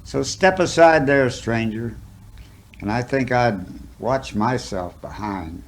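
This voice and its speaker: A man's voice: medium pitch, animated, a little hiss or hum. A man reciting a cowboy poem as a dramatic story, in a folksy, rustic manner. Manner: folksy, drawn-out phrasing with rustic dialect.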